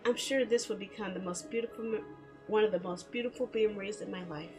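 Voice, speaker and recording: A woman's voice: mid-range voice, fast talker, darkish tone. Woman game streamer, faint music behind. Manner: giggly, playful, chattering to herself. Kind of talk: casual livestream chat with visual novel read-alouds.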